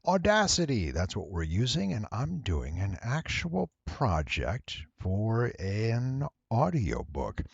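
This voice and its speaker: Low, creaky man giving a slow tutorial. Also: dry recording, lo-fi sound. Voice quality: nasal. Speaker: voice actor giving a software tutorial. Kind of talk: casual screen-recorded tutorial.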